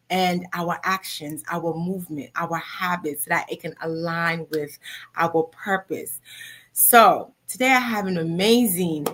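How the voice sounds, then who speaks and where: A woman's voice: fairly low pitch, a bit bright. A woman in her thirties hosting a live talk show in an upbeat, motivational way, often adding "okay?". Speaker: host.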